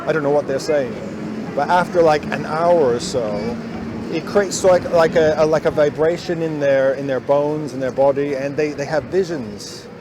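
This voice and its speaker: A man's voice: a little high, moderate pace. A man asking skeptical, hesitant questions in a casual street conversation. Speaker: interviewer. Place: busy city street outdoors. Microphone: hidden recorder. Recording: street noise, secret recording. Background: traffic.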